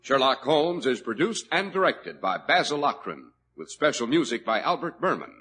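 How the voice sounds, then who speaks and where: A man working as a radio announcer, with a smooth, formal delivery. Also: mid-range voice, big pitch swings, moderate pace. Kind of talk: 1940s radio announcing and commercial. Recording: old radio broadcast with music behind.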